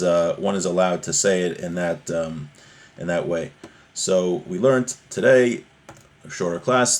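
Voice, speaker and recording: A man giving an informal religious lesson, reading from a source text and explaining it conversationally with everyday examples. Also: low-pitched. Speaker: religious teacher giving a class.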